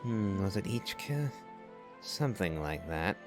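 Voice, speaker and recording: A deep-voiced man gives casual game commentary. He muses at a slow, unhurried pace, reading along as faint music plays behind him. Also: very animated.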